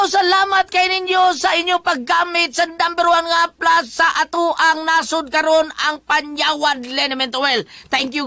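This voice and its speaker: A woman with a Cebuano Filipino accent talks as a radio host. Her voice is high and bright, recorded close and dry. She moves through greetings and promotions in a casual, off-the-cuff way. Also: dry recording. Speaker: radio host giving greetings and product plugs. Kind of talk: casual live radio banter and ad-lib promotion.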